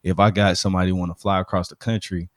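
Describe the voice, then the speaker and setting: A man's voice: sits low, level tone, fast talker, no echo, studio quality. A man from Alabama with a Southern accent, chatting casually in slang and often checking that the listener follows. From Alabama, United States. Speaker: DJ with a studio, podcast speaker. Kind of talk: casual conversational discussion.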